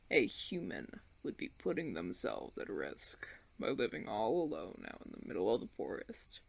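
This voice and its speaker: A man playing a smug, menacing vampire recruiter in an audio roleplay, with a gravelly, creaky voice and theatrical, taunting, drawn-out phrasing. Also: mid-range voice, exaggerated voice. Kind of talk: ASMR audio roleplay acting.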